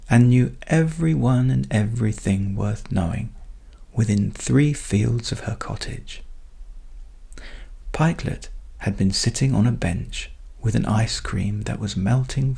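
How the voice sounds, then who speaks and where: A man tells a bedtime story calmly and gently. His delivery is slow and soothing, with heavy creak and a husky, breathy softness. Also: mid-range voice.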